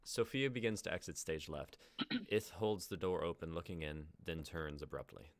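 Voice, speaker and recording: Young guy reading out stage directions, low voice, dry mic, scripted narration. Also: moderate pace, no room sound. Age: young adult.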